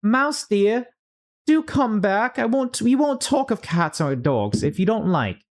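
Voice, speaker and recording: Man putting on a high, polite, anxious little girl. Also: sing-song, a little slow, heavily acted. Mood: eager, pleading. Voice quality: high, light put-on voice. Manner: earnest, pleading, exclaiming. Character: polite, anxious young girl lost in a strange land.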